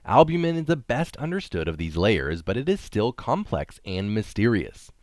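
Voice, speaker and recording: A man with a low-pitched voice reads an article aloud. His intonation moves around a lot, and he shifts casually between the text and his own explanations. The sound is dry, with very little room in it. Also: very animated, moderate pace, darkish tone, no room sound. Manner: informal, explanatory, breaks off to comment. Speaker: reader and commentator.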